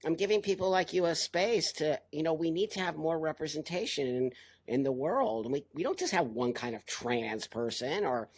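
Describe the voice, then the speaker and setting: A man's voice: a little high, lively intonation, normal speed. An older man hosting a casual interview, speaking emphatically and repeating himself to reassure his guest. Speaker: host.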